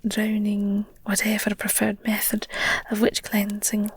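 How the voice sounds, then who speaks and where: A woman playing an affectionate, candid werewolf girlfriend in an intimate ASMR audio roleplay, talking in a soft, hesitant, breathy whisper with gently teasing, wry asides. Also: mid-range voice, monotone, a little slow, dry recording. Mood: hushed, explanatory.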